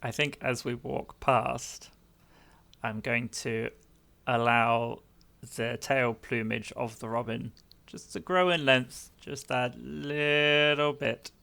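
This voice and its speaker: A man's voice: mid-range voice, fairly even intonation, takes it slowly, vocal fry, bright, forceful tone. A man improvising narration of his character's actions as a player in a roleplaying game.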